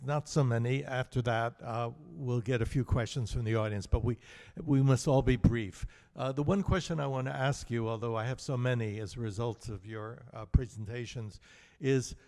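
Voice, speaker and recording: A man's voice: medium pitch, gravelly, darkish tone. A man moderating a panel discussion, hesitant with many fillers, in a conference hall. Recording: echoey hall.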